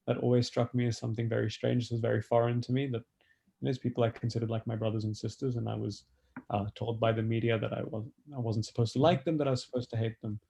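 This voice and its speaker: A man's voice: medium pitch, flat delivery, fast talker, gravelly, warm tone, breathy edge. A young man who was born in Delhi and grew up in Melbourne, a podcast guest telling his story in an easygoing way over dull lo-fi audio. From born in Delhi, raised in Melbourne. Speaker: guest, project co-founder. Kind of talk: conversational podcast interview.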